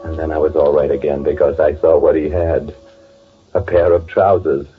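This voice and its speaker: An actor playing a guilty, scheming man in an old-time radio thriller, speaking with a halting, nervously polite, confessional delivery. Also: extremely low pitch, little pitch movement. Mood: confessional, tense. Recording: tinny, band-limited old radio recording, music behind.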